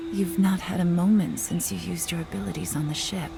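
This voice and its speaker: Creaky-voiced, gentle enchantress. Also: female voice, on the low side, steady tone, a little fast, noisy background. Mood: concerned. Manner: soft, earnest, soothing counsel. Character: gentle, empathetic non-human enchantress woman haunted by regret. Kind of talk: dramatic video game acting. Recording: game music behind the voice. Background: game music.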